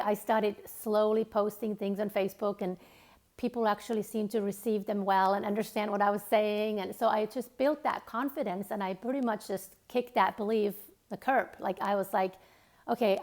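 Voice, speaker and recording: A Finnish-accented woman at a middle pitch gives a motivational talk. She speaks gently and reflectively, pausing on fillers and restarting phrases as she goes. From Finland.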